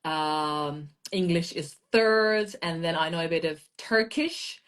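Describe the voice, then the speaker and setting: A woman's voice: deep voice, slow, a bit bright. A woman of around forty whose first language is Azeri, answering interview questions in an emphatic, motivational way. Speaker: guest, language education expert.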